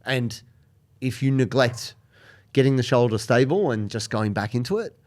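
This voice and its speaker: A man's voice: medium pitch, animated, moderate pace, darkish tone. A man co-hosting a podcast, giving earnest, emphatic advice in a casual chat. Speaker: podcast co-host.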